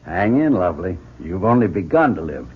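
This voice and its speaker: On a dull vintage radio recording, a confident American spacecraft commander speaks in a very husky, rough voice. His delivery swings widely in pitch as he pleads, steadies and commands in old-time radio drama style. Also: big pitch swings, a little fast, full character voice. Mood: calm, commanding.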